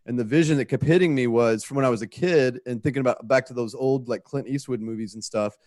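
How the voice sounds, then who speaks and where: A man's voice: mid-range voice, fast talker, darkish tone, dry recording. A man speaking as a guest writer in an interview, telling a story in a rambling, conversational way.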